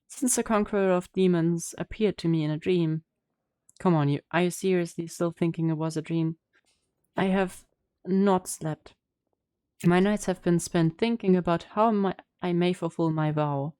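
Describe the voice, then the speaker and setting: A woman's voice: on the low side, normal speed, mellow, smooth, no room sound. A woman streamer giving a casual game reaction, chatting and reading game text aloud. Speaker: gaming streamer. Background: faint game music.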